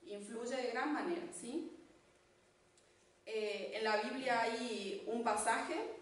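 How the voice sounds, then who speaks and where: Argentine lady teaching at church, kinda high voice, far from the mic, big echo. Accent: Argentine Spanish. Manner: unhurried, with frequent fillers and restarts. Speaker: church speaker. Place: church hall. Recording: echoey hall, distant mic, faint noise.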